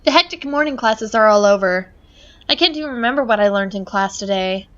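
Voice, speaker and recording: A woman's voice: medium pitch, animated, brisk, a bit bright, highs cut off. A woman hosting a let's play, reading game narration aloud and adding chatty, giggly commentary. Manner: chatty, giggly, reads narration then riffs.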